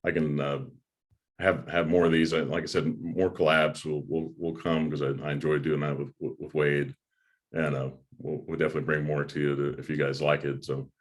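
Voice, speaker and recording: A man hosting a movie review chat in a casual, halting way, often repeating his words. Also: a bass voice, flat delivery. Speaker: host of a movie review channel. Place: home room with a desk, on a video call.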